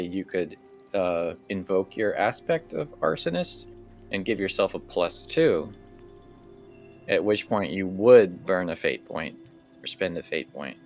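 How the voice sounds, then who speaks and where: Low-pitched, slightly creaky man as game master. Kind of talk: casual rules explanation and scene-setting for a tabletop game.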